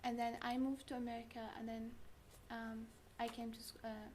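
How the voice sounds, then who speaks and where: A woman's voice: fairly high pitch, level tone, normal speed, a little mellow, a little hiss or hum. A young woman from Syria, a student, answers interview questions casually with frequent fillers. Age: young adult. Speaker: student guest.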